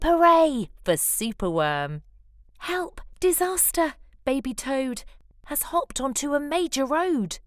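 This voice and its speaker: A woman reading a children's picture book aloud as a storyteller, animated, with deliberate phrasing. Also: high-pitched, no room sound, clean studio sound.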